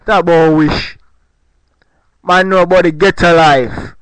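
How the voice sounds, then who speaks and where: A man's voice: on the high side, steady tone, a little slow, fairly bright tone, clean tone, dry recording, rough recording. A man putting on a Jamaican patois accent as a boastful Rasta, telling comic stories. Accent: put-on Jamaican patois. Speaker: comedy podcast co-host. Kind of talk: comic storytelling.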